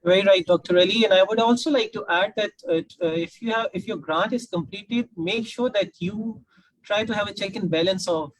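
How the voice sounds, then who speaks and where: A man's voice: sits high, brisk, no room sound, low-quality recording. A man with a Pakistani accent moderating an online panel discussion.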